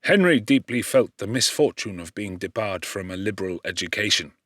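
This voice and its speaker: A man's voice: fairly low pitch, animated, brisk, a bit bright, no echo. Solemn male audiobook reader, dry sound. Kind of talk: scripted literary narration.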